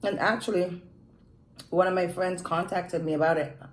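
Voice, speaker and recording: A woman's voice: on the low side, steady tone, roomy sound, poor audio. A woman with a mixed Jamaican and Quebec French accent chatting casually while eating on camera. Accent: Jamaican and Quebec French mix. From Jamaica, raised in Montreal. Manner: slow, chatty, pauses to eat. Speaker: mukbang vlogger. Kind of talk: casual eating vlog chat.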